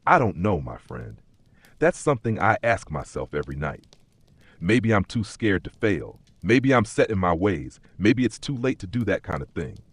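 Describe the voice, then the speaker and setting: Man acting Elias, a weary, husky-voiced skeptical office worker. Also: sits low, very animated, moderate pace, dry recording, heavily acted. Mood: self-doubting. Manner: plain-spoken, skeptical, self-doubting. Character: Elias, weary, doubtful male corporate worker. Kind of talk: dark fantasy audio story dialogue.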